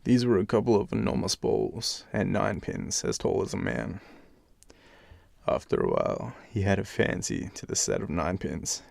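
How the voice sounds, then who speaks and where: An Australian man reading a bedtime fairytale in an informal, relaxed way as a storyteller. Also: deep voice, normal speed, vocal fry, no room sound, clean studio sound.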